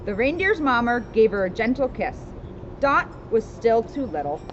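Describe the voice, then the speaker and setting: A woman's voice: fairly high pitch, very animated, normal speed. A woman reading a children's storybook aloud with casual asides, recorded outdoors. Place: outdoors in a town street. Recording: dull outdoor recording with loud street noise.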